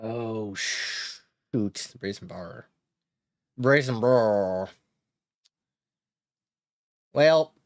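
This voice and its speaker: A man's voice: lowish voice, drawn-out words, pauses often, no echo, clean studio sound. A man giving casual gameplay commentary while playing a card game, thinking aloud, chuckling and cheering his wins.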